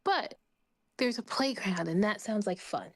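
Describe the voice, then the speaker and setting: A woman's voice: medium pitch, lively intonation, measured pace. A woman playing a tabletop game, casually speaking for her character and describing her actions. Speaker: player.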